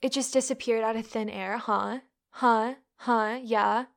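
A woman doing a scornful, gravelly college girl. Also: on the high side, fairly even intonation, unhurried. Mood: mocking. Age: young adult. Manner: taunting, contemptuous, swears constantly. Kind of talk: audio roleplay.

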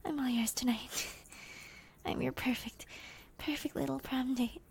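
A young woman speaking in a slow, breathy whisper in an intimate audio roleplay. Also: sits high, steady tone, a little mellow.

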